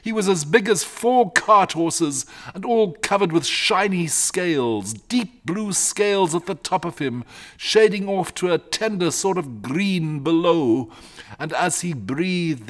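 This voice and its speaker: A man reads as a fretful rustic shepherd from a storybook. The voice is pitched high and swoops about with agitation, rambling on like a frightened countryman telling his tale. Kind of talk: storybook character reading.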